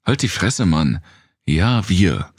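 A man reads the part of a tough woman survivor in a dark audiobook, blunt and wary. The voice is low for her, with a gravelly edge, raised somewhat above the narration. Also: very animated, slow, no room sound, clean studio sound, full character voice. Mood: irritated, firm.

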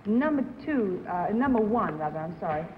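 A woman on a 1950s game show panel asking questions in a hesitant, halting way, in thin old broadcast audio. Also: medium pitch, expressive, in a large hall, narrow, tinny audio, slight background noise. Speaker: celebrity panelist.

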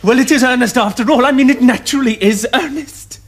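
An actor playing an excitable, earnest young Victorian gentleman with an upper-class English accent, in formal theatrical diction for a television period comedy. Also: extremely high pitch, brisk. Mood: eager, excited. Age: young adult.

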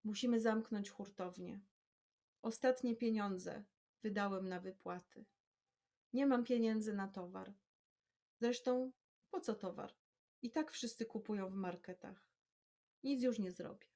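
A woman reading out the quiet, despairing words of a husband in a spoken story. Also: mid-range voice, big pitch swings, measured pace. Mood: defeated.

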